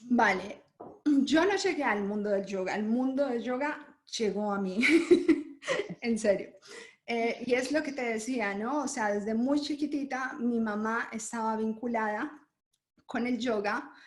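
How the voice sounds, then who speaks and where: A woman from Colombia, a podcast guest, telling her life story in a lively way with frequent laughter. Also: on the high side, measured pace, slight background noise. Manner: lively, laughs often while telling stories. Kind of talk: conversational interview storytelling. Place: lives in Germany.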